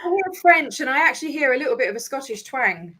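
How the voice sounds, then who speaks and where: Woman, Australian accent, lively pitch, slightly high, friendly interview guest. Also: quick pace. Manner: friendly, laughs easily. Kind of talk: casual interview chat.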